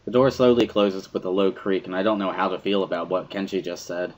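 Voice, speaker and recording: A man's voice: low voice, steady tone, brisk. A man reading first-person visual novel narration in an introspective storytelling manner. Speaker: dramatic reader of a visual novel.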